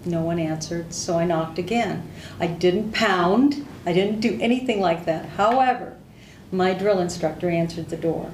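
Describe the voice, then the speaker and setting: A woman's voice: fairly low pitch, moderate pace, a little mellow, distant mic, a little hiss or hum. An older woman, a native Hungarian speaker, telling stories from her service candidly in an oral history interview. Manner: candid, plain-spoken storytelling. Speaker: veteran interviewee. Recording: roomy echo.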